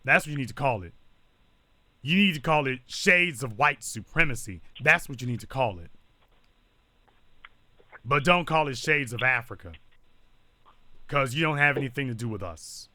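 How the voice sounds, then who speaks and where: A man's voice: mid-range voice, lively intonation, normal speed, pauses often, pushed and bright, no room sound. A man hosting a live call-in talk show, speaking in a blunt, lecturing way.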